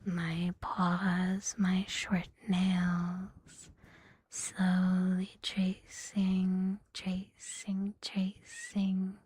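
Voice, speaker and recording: A woman's voice: on the low side, completely flat delivery, extremely slow, a little mellow, husky, dry recording. A woman whispering breathily in an intimate, soothing ASMR roleplay as a catgirl girlfriend, very close to the microphone. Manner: mostly whispering, close and soothing, lingering on repeated words. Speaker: ASMR performer.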